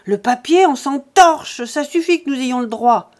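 A woman voicing an angry, stubborn peasant man who shouts blunt, coarse threats. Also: sits high, animated, measured pace, voice pushed up. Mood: angry shouting.

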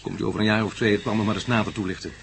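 Stuffy rector, fairly low voice, formal and stalling, radio drama with faint noise. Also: male voice, steady tone, racing, gravelly. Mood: condescending. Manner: hesitant, formal, patronising. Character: condescending, stalling university rector, bureaucratic and unyielding. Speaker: actor in a radio play. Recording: slightly noisy radio play recording.

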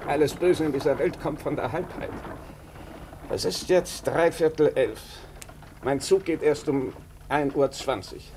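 An actor playing a blunt, shrewd doctor with a deliberate, sardonic, probing manner in a German radio drama. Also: male voice, fairly high pitch, a little mellow, slight background noise, lightly acted. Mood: frank, insistent. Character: blunt, shrewd, bespectacled male country doctor.

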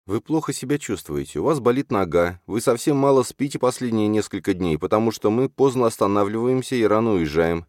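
An anxious, soft-hearted young sorceress from an audiobook, acted out by a man. The voice is lifted well up and pleads with insistence, turning indignant easily. The sound is close and dry. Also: fairly low pitch, lively intonation, fairly quick, hardly any pauses, dry recording, pitched above the performer's own voice, natural voice. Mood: worried, insistent. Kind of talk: audiobook character voice.

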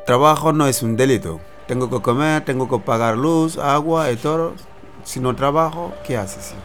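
A man's voice: mid-range voice, moderate pace, faint music behind, close to an everyday voice. A man playing a street vendor. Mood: pleading. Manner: broken Spanish, pleading, earnest. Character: male street vendor selling pirated films, non-native. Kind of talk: radio drama acting.

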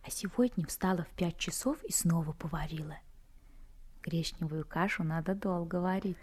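A slim young newlywed, gentle and homely. Her voice is low, soft and very breathy, often nearly a whisper. It is intimate radio drama acting. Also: normal speed. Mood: tender, homely. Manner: gentle, homely, fussing softly. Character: slim, tender, caring young newlywed woman.

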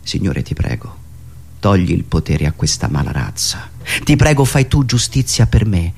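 A man's voice: deep voice, very animated, normal speed, vocal fry, darkish tone, slightly rough, voice pushed down, heavily acted. Man acting as a humble, pleading servant at prayer. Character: maltreated, frightened servant praying humbly to Christ. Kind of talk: theatrical monologue recitation.

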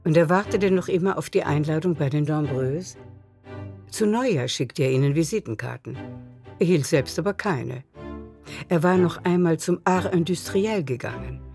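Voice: mid-range voice, lively intonation, moderate pace, darkish tone, faint music behind. A narrator reading scripted literary prose in a radio drama.

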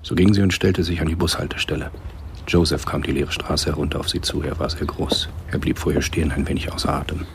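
An actor narrating a spy thriller radio play as a grave storyteller, with a rough, husky voice and a measured, sober delivery. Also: male voice, rumbling low, steady tone, background noise. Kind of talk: scripted radio drama narration.

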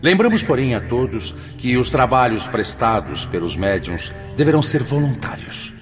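A Brazilian actor playing a solemn, benevolent spirit director in a radio drama, speaking formal, declamatory Portuguese with a Brazilian accent. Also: male voice, mid-range voice, big pitch swings, moderate pace, music in the background, lightly acted. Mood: solemn appeal.

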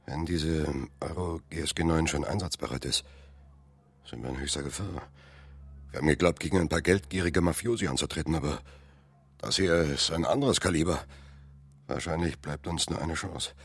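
The leader of a group on the run in a radio crime drama. His voice is very deep and husky, his orders short and decisive. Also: normal speed, no room sound, heavily acted. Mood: grim. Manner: curt commands, tense and decisive.

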